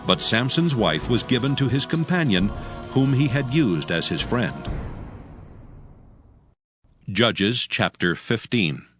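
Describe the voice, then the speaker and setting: A man's voice: mid-range voice, lively intonation, measured pace, music in the background. A man narrating a dramatized Bible with a solemn storytelling delivery.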